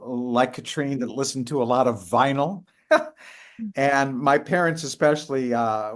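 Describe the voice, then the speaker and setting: An older man answering interview questions about co-writing a musical, speaking haltingly with many fillers and restarts. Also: mid-range voice. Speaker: guest, co-writer of a musical.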